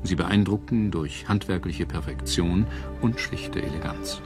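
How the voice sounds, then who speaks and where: A man narrates a documentary in a low-pitched voice. His scripted delivery is measured and formal, and music plays clearly behind him. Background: background music.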